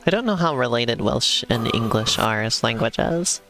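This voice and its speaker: Gravelly-voiced man in relaxed gaming chat. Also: mid-range voice, moderate pace, over music. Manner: relaxed, easygoing, asks questions. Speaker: streamer co-host. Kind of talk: casual chat while gaming.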